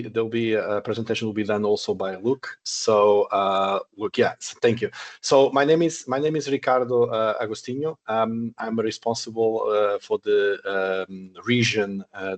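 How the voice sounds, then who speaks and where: Portuguese guy doing a halting intro on a work call, lowish voice, lots of uh and um. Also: steady tone. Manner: hesitant, repeats words, many fillers. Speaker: regional sales manager. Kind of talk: business introduction on video call. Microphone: online meeting audio.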